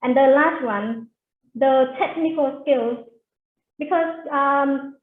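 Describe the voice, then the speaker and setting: A woman's voice: high voice, slow. A woman presenting an online webinar, speaking carefully with many fillers and restarts. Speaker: webinar presenter for a teaching jobs agency. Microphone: video call. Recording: echoey, phone-like video call audio.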